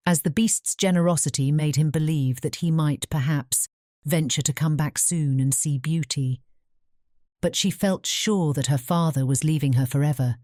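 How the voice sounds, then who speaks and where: A woman's voice: deep voice, dark tone, no room sound, clean studio sound. A woman narrating a fairy tale in a scripted bedtime audiobook style.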